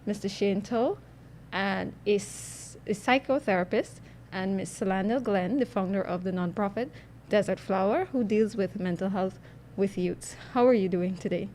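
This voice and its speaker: A woman's voice: mid-range voice, moderate pace, a little mellow. A woman hosting and interviewing guests on a radio health show. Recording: faint background noise.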